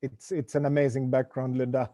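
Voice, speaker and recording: A man's voice: medium pitch, level tone, brisk, dark tone, dry recording, studio quality. A man moderating a panel on a video call, friendly, often repeating words as he goes.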